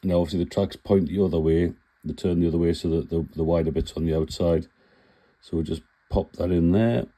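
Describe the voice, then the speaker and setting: Deep, dark-voiced man with a cold. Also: steady tone, fairly quick. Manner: chatty, self-correcting, plain-spoken. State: full of cold. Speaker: scale model builder. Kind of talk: casual build tutorial.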